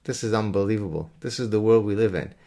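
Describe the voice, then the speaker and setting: A man's voice: on the low side, quick pace, vocal fry, mellow. A middle-aged man from Canada hosting his own channel, talking in a casual mocking monologue and now and then breaking into off-key snippets of song.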